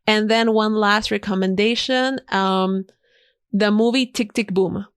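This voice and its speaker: A woman's voice: medium pitch, steady tone, measured pace, clean tone, dry recording, clean studio sound. A woman whose first language is Spanish, a podcast guest chatting casually and eagerly recommending things with emphatic repeats. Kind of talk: casual interview chat. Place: podcast studio.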